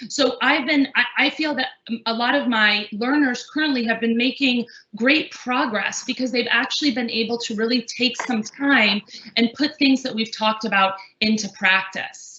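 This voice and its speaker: A woman's voice: fairly high pitch, moderate pace, pushed and bright, echoey room, lo-fi sound. A woman who works as a language trainer, speaking earnestly in a webinar panel discussion, with many fillers and restarts.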